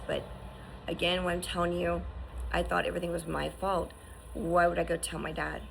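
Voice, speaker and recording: A woman's voice: lowish voice, vocal fry, a little hiss or hum, low-quality recording. A woman in her fifties giving an earnest, encouraging personal testimony, often restarting and asking "right?". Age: 50s. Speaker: survivor sharing her story.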